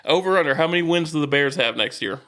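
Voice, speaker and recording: A man's voice: on the high side, quick pace, pushed and bright. A man co-hosting a sports podcast, trading opinions casually and matter-of-factly with a friend.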